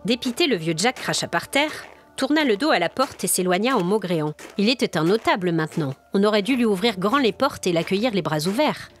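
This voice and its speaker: A woman's voice: mid-range voice, expressive, a little fast, soft background music. A woman storyteller reading scripted narration of a spooky folk tale.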